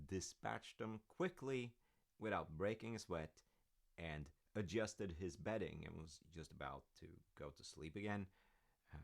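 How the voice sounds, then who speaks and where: A man plays a deep-voiced father with a gravelly creak, telling old myths in an intimate audio roleplay. He rambles fondly and halts for wry little asides, warm toward his child. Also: no echo, clean studio sound, exaggerated voice.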